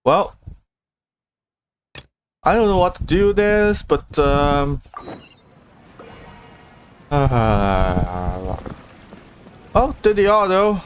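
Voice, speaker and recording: Male streamer, very animated, slow-paced. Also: on the high side, a little hiss or hum. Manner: informal, mild swearing, reactive. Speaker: livestreamer. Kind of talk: casual gameplay livestream chat.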